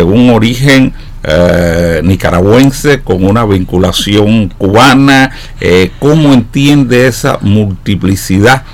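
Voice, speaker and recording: Low-voiced man, halting interviewer. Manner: halting, long-winded, wordy questions. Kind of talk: formal interview questioning.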